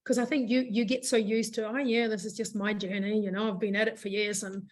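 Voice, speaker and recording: A woman with a hoarse voice, a business founder chatting in an interview, rambling with many fillers and restarts. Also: mid-range voice, little pitch movement, brisk. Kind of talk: casual interview chat.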